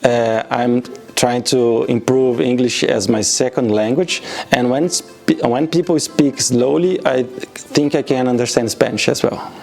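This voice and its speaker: A man from Brazil speaks in a middle register with a clear Brazilian Portuguese accent. He answers interview questions carefully and pauses to find his words. Soft music plays quietly behind him. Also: medium pitch, fairly even intonation. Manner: careful, with restarts and pauses, switching between English and Portuguese. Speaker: automation specialist interviewee.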